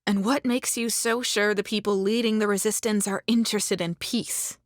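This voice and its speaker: A woman's voice: medium pitch, fairly even intonation, moderate pace, dry recording, studio quality, lightly acted. A woman playing a defiant, sardonic criminal and deserter in a dramatized audiobook. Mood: challenging. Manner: challenging, sardonic.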